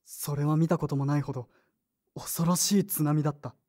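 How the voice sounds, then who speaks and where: A woman's voice: deep voice, steady tone, moderate pace, mellow. A woman playing a brusque, moody teenage boy in an audio drama, with blunt, casual boyish speech. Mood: somber. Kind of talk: Japanese audio drama acting with inner narration.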